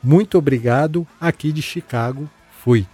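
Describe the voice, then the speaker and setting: A man hosting a casual podcast. He chats in a relaxed way and reads listener messages aloud, with many fillers and repeated words. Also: on the high side, moderate pace, husky, faint music behind. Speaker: podcast host.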